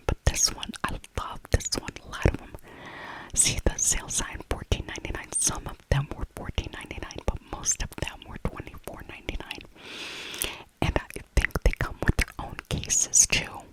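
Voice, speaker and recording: A woman speaking in a breathy, unvoiced whisper, chatting directly to the listener in an ASMR shopping voiceover. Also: poor audio. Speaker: ASMR creator.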